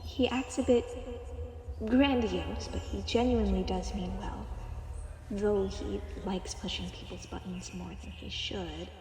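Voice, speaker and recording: A woman's voice: medium pitch, steady tone, unhurried, warm tone, lightly acted. A woman playing a purgatory tour guide in an audio roleplay. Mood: soft, placating. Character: tour guide of purgatory, by turns snappy and soothing. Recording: roomy, echoing, reverberant sound.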